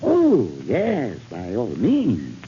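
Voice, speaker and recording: An actor playing a pompous, genteel, gouty older villain with florid theatrical phrasing in a 1940s radio drama. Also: male voice, medium pitch, huge pitch swings, very slow, warm tone, full character voice. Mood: imperious, smug. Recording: muffled, hissy 1940s radio recording.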